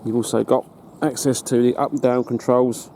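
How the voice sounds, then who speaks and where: A British man giving a casual hands-on demonstration as a hobbyist, in a halting, matter-of-fact way. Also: medium pitch, level tone, warm tone. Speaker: hobbyist presenter. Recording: noticeable background noise.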